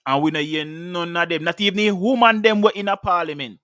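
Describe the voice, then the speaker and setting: A man's voice: sits high, fairly quick, sharp tone, no room sound. A man in his thirties with a Jamaican accent, hosting a heated talk show discussion, passionate and often repeating words as he speaks into a studio mic. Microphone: studio mic with headphones.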